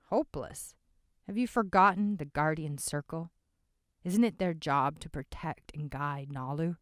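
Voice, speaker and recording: A woman voicing a calm man who speaks steadily to the others. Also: deep voice, no echo, studio quality. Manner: calm, measured.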